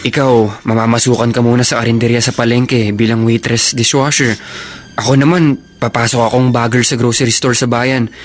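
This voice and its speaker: Guy acting filipino big brother, college age, medium pitch, steady and comforting, radio drama. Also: normal speed, slight background noise, close to an everyday voice. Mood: firm, encouraging. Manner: reassuring, firm.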